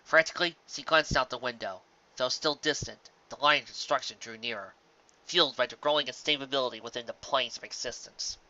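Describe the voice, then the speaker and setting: A man narrates a story dramatically from a script. His mid-pitched voice is bright and forceful, and the recording is slightly muffled. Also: fairly quick.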